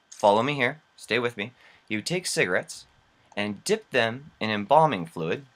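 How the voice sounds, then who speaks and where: A man's voice: medium pitch, a bit bright. A young man telling casual, rambling stories as a gaming vlogger, with slangy, incredulous asides. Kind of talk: casual rambling storytelling over gameplay.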